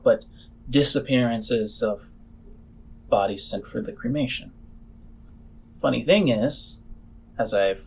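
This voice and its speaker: A man voicing a shrewd, skeptical female boss in a tabletop game, explaining things in a measured, halting way. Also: mid-range voice, vocal fry, darkish tone, a little hiss or hum.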